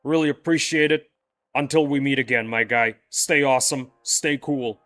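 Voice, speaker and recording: A man's voice: medium pitch, moderate pace, a bit bright. A young man chatting loosely with viewers during a game livestream, often restarting his sentences. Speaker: game streamer. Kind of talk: casual livestream chat with viewers. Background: faint background music.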